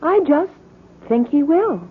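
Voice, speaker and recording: An anxious young woman student in a vintage radio mystery. Her voice is very high and swings widely in pitch, in haunted, old radio acting style. The sound is tinny and narrow. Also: expressive, slow, slight background noise. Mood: uneasy.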